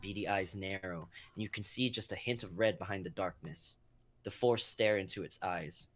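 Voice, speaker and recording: A young man serves as narrator of a scripted drama. His voice is fairly low as he reads out dramatic action. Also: a bit bright. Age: young adult.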